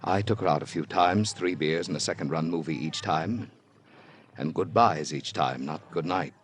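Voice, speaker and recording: An actor playing a guarded, rueful dress shop floor manager, stiff and measured, in vintage radio drama style. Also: male voice, very deep voice. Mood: rueful, confessing. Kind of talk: 1940s-50s American radio drama acting.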